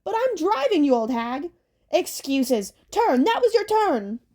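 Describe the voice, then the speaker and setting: Storybook old hag voiced by a woman, quarrelsome, wailing and screeching, very high pitch. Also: big pitch swings, measured pace, dark tone. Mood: bickering. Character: shrieking, squabbling old hag sisters driving a taxi.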